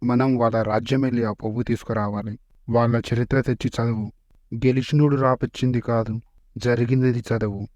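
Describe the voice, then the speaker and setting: A man voicing a touchy, blustering boss in an animated parody comedy, giving curt orders. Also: medium pitch, fairly quick, dry recording, close to an everyday voice. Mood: commanding.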